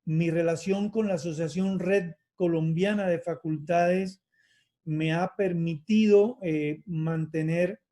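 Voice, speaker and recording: A man's voice: fairly high pitch, fairly even intonation, warm tone. A man, a university lecturer giving a reflective talk, full of fillers and restarts. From Cuba. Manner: hesitant, many fillers and restarts; measured and reflective. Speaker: university lecturer in physical education. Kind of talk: academic conference talk.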